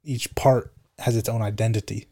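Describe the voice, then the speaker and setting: A man's voice: lowish voice, fairly even intonation, moderate pace, mellow. A young man co-hosting a podcast, chatting casually in a slangy, laid-back way with plenty of swearing. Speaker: podcast co-host. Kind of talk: casual chat.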